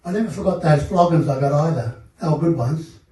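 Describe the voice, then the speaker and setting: Older Australian man, dark echoey voice. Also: on the high side. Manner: measured, reflective, many fillers. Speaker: panel guest. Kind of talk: personal testimony at a seminar panel. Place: seminar hall. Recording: echoey room, mic at a distance.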